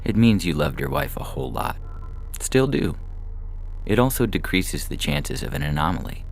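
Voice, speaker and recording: A man voicing a calm, professional male medical technician in an audio short story, explaining procedures in a measured, reassuring way. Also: rumbling low, creaky, a little hiss or hum, heavily acted. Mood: gentle, guiding. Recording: music bed under the reading.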